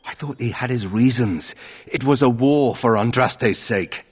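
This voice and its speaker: An actor playing a brooding young nobleman in a video game, husky and earnest, with a subdued delivery. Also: medium pitch, measured pace. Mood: troubled. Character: brooding young nobleman troubled by his family's disgrace.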